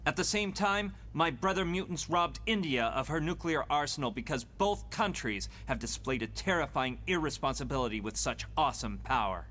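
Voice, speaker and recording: A man's voice: on the high side, lively intonation, moderate pace, few pauses, bright, forceful tone. An actor voicing an arrogant, impatient young male mutant leader in a motion comic, fussy and sarcastic. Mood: pompous. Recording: prominent music behind the voice.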